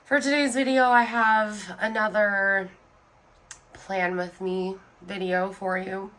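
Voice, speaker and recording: A woman's voice: little pitch movement, slow pace, fairly bright tone, low-quality recording. A young adult woman with a Southern US accent, sick and nasal, chatting in a subdued, rambling way about her planner. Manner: subdued, low-energy, rambling. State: sick with a stuffy nose, sniffling. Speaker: planner vlogger. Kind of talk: casual chat.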